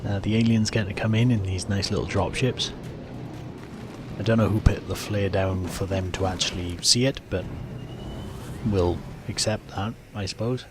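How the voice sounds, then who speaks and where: A man's voice: on the low side, steady tone, moderate pace, background noise. A man hosting a gaming video, giving plain step-by-step explanatory commentary on the gameplay. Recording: faint game music behind voice. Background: game soundtrack.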